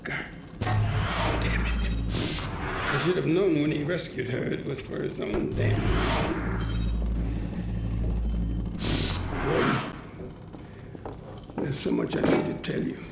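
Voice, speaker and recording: Older male scientist, game drama: weary, weighted, halting delivery, creaky voice, echoey and set back under music. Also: a little high, distant mic. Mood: bitter, urgent. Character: weary, troubled older scientist father, gentle but bitter. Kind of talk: dramatic video game acting.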